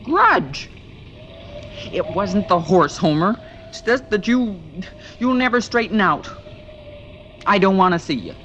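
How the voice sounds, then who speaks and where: An actress playing a tough, sardonic ex-wife who runs a diner, tart and snappy, in 1940s radio style. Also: female voice, mid-range voice, big pitch swings, a little slow, long pauses, a bit bright, a little hiss or hum. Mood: scornful. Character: tough, sardonic diner-owning ex-wife, still soft underneath.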